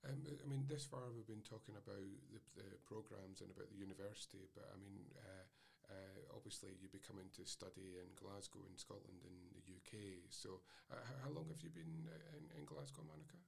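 Gravelly husky man interviewing. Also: low-pitched, brisk, hardly any pauses. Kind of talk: interview about university study.

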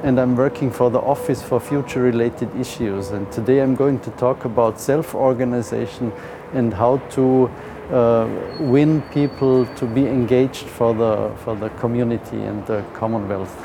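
A man's voice: mid-range voice, fairly even intonation, normal speed, in a large hall, background noise. A man from Austria, speaking English with a heavy Austrian German accent, gives a nervous, hesitant conference presentation with many fillers and restarts.

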